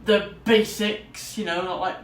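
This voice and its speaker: A man's voice: high voice, fairly even intonation, measured pace. A young man, a student vlogger, chatting casually in an enthusiastic, rambling way. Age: young adult. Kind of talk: casual vlog to camera. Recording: roomy echo, distant mic, faint noise.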